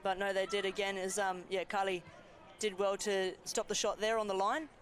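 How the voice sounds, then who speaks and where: A woman's voice: medium pitch, flat delivery, normal speed, a faint crowd, a little hiss or hum. A woman, a goalkeeper, giving chatty, self-deprecating match commentary with frequent laughter at an outdoor stadium. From Greek background.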